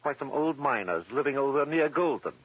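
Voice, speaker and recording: An actor playing an older, polite, anxious insurance agent with a formal, hesitant manner in a vintage radio drama. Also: male voice, mid-range voice, expressive, a little fast, slightly rough. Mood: worried, deferential. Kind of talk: golden-age radio drama acting. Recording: tinny, noisy vintage radio recording.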